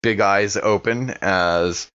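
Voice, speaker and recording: A man's voice: fairly low pitch, slow pace, fairly bright tone, clear, resonant tone, no echo. A man playing in a tabletop audio drama, talking and narrating casually. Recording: dull, lo-fi microphone.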